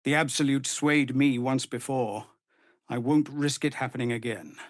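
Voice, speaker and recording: A middle-aged man playing a weary, dutiful tiefling commander of refugees in a video game, speaking formally and earnestly with measured authority. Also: mid-range voice, fairly even intonation, no echo, studio quality, lightly acted. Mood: grave, earnest. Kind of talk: dramatic video game voice acting.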